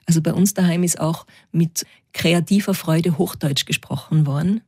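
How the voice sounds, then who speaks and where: A woman with a Tyrolean Austrian accent, a storyteller answering interview questions in a lively, reflective way. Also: low voice, normal speed, husky, no echo, clean studio sound. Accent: Tyrolean Austrian German.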